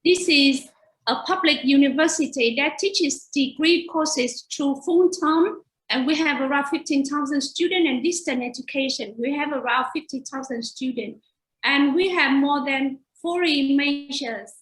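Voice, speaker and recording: A woman's voice: sits high, measured pace, fairly bright tone, roomy sound, poor audio. A woman from Vietnam with a Vietnamese accent, a native Vietnamese speaker and teacher educator, giving an earnest webinar slide presentation over a video call.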